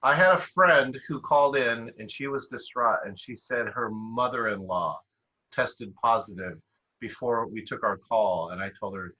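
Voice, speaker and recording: A man's voice: mid-range voice, normal speed, sharp tone, low-quality recording. A man leading a casual group discussion.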